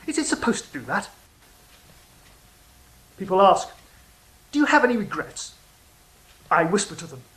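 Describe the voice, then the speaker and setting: An actor playing the sly, wistful serpent of Eden in a theatrical monologue, confiding and musing, shifting between hushed and declamatory delivery. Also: medium pitch, natural voice, rough recording.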